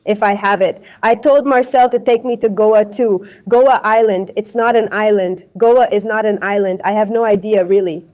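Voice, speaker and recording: A woman travel vlogger explains things at a thoughtful, easy pace, with a soft, mellow tone. Her nose is stuffy and her throat is sore. She is recorded close on a noise-cancelling clip-on mic at an airport. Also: mid-range voice, steady tone, normal speed, few pauses, muffled recording. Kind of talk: casual travel vlog chat. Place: busy airport terminal. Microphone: wireless clip-on mic with noise cancelling.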